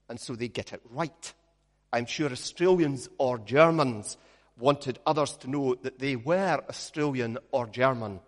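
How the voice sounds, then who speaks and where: A Scottish man, a minister, leads a prayer and then preaches, telling stories with a measured pace and gentle humor. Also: medium pitch, long reverb tail. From Scotland. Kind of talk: sermon with opening prayer.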